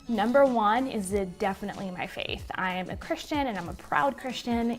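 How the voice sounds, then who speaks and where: A woman in her twenties from Puerto Rico with a Puerto Rican accent, a native Spanish speaker, answering viewer questions to camera in a chatty way from her living room. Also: medium pitch. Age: 20s. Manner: chatty, gestures a lot. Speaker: business vlogger. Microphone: webcam at desk.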